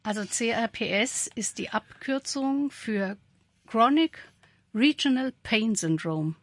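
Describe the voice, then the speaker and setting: A woman's voice: on the high side, expressive, slow, dry recording. A woman in her sixties who leads a support group, explaining as a radio guest with frequent hesitations. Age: 60s. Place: radio studio.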